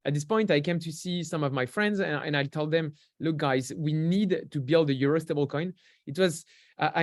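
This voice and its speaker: A man's voice: on the high side, darkish tone, no room sound, clean studio sound. A young man from France with a French accent, a podcast guest explaining things in an easygoing way, with many fillers and restarts. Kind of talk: casual interview talk.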